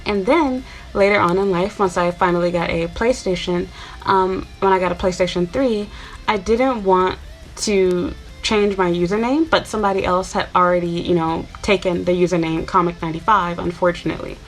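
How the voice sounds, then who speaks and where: A woman's voice: on the low side, steady tone, normal speed, soft background music, low-quality recording. A woman in her twenties from Chicago, talking casually to her viewers in a rambling, chatty way with lots of fillers. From Chicago, USA. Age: 20s. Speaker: YouTuber. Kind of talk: casual talk to camera.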